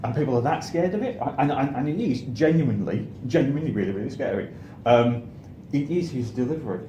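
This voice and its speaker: British male co-host, middle-aged, rambling and repetitive, dark relaxed tone, roomy sound with faint noise. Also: medium pitch, a little fast, dull audio. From United Kingdom. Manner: rambling, repeats and restarts phrases often. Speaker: co-host of a film review show. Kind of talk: casual discussion and reminiscence.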